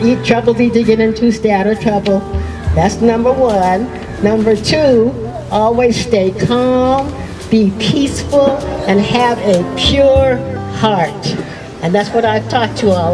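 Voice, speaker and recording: A woman mentor giving a motherly, firm, encouraging address to her students. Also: medium pitch. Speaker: program mentor. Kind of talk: motivational address. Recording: distant mic with music.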